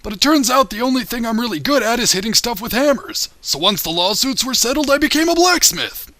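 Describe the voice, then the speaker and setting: A man's voice: extremely high pitch, animated, a little fast, bright, forceful tone, dry recording, exaggerated voice. A man voicing a senile old blacksmith who gives folksy, rambling advice in a comedic cartoon parody dub. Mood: folksy, musing. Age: older.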